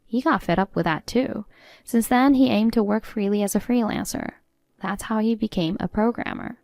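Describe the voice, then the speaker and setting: A woman's voice: medium pitch, normal speed, creaky, darkish tone, no room sound, clean studio sound, close to an everyday voice. A woman playing a defiant, sarcastic adult daughter-in-law in a story, composed and matter-of-fact. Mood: firm.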